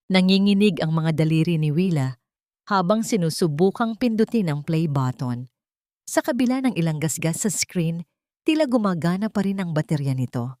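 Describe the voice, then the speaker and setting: A woman's voice: fairly low pitch, warm tone, no echo, studio quality. A woman narrating a scripted story in a dramatic storytelling style.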